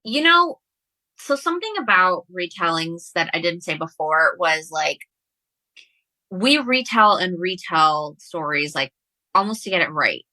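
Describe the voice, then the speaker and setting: Bright-voiced woman guest, slightly low. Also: big pitch swings, measured pace, no echo, low-quality recording. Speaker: guest author. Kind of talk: casual book discussion.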